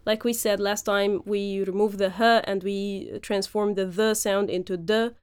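A woman's voice: mid-range voice, level tone, unhurried, smooth. A woman hosting a podcast, explaining language points in an instructive way with frequent fillers. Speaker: podcast host and Arabic teacher. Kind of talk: lecture-style podcast recap.

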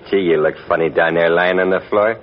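An actor playing a dim, gloating gangster henchman with slangy tough talk in a 1940s radio drama. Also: deep voice. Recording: dull vintage 1940s radio recording.

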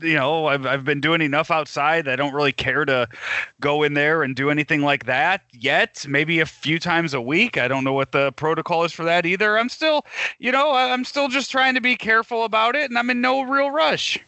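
Casting: host voice male, bright and a touch high, halting casual delivery, dry close sound. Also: no room sound. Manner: halting, many fillers and restarts. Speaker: podcast host. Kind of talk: casual sports talk.

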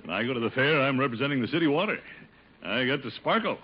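Pompous uncle with swooping voice. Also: male voice, mid-range voice, lively intonation, fast talker, husky. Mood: pleased, boastful. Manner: pompous, self-satisfied, hearty. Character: pompous, jovial middle-aged uncle and water commissioner. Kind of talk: 1950 radio comedy acting. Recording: dull old radio broadcast, faint noise.

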